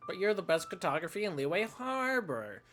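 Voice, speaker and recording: Flippant young man streaming, slightly high voice. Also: big pitch swings, normal speed, a bit bright. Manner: flippant, joking, sarcastic. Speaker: game streamer. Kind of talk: casual playthrough commentary. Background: game music.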